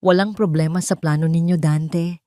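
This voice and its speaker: A woman's voice: fairly low pitch, fairly even intonation, normal speed, warm tone, no room sound, studio quality, close to an everyday voice. A woman voicing a formal, evasive male investor in an audio drama, polite and noncommittal.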